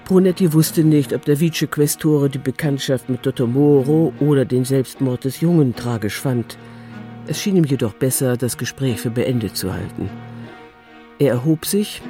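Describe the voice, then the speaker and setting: A man narrating a radio crime drama in a calm, composed literary style. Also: mid-range voice, moderate pace, soft background music.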